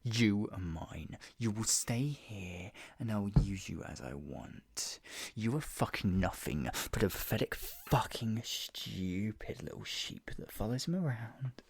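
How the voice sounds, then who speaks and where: A performer playing a possessive, sadistic yandere captor in an improvised ASMR roleplay, mocking and menacing, with a breathy voice that often drops toward a whisper. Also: medium pitch, animated, heavily acted. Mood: contemptuous, possessive. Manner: menacing, mocking, slow drawn-out taunts broken by laughs and sighs.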